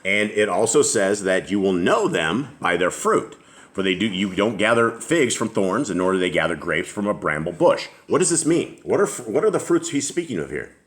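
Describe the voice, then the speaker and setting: Deep voiced middle aged guy leading a bible study at home, reads verses slow then quizzes everyone. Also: sing-song. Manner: reads scripture aloud slowly, then asks questions.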